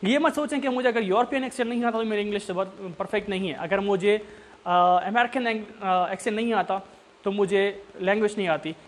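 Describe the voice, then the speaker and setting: A man, a Saraiki speaker, with a fairly high voice, talks learners through tips in a loose, unscripted lesson. He repeats his points and keeps checking that everyone is following. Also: brisk. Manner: repetitive, coaxing, often checks understanding. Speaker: English tutor. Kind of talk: informal instructional talk.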